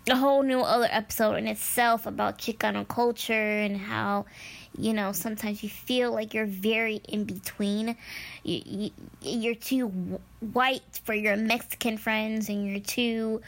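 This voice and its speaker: Slow-talking young woman podcaster. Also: mid-range voice, fairly bright tone. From United States, Midwest. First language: Spanish. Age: young adult. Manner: chatty and upbeat, many ums and restarts. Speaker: podcast host. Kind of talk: casual solo podcast talk.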